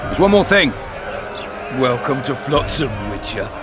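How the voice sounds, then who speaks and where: Barking, bullying town commandant. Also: male voice, medium pitch, sing-song, over loud music. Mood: sly, menacing. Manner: barking, scornful, slow deliberate delivery. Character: brash, bullying male town commandant, coarse and menacing. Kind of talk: video game voice acting.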